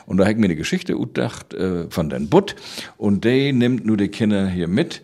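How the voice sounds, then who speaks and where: A man from Rügen with a northern German accent, casually telling stories in Low German as an interview guest. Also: very animated, normal speed, dark tone. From island of Rügen, Germany.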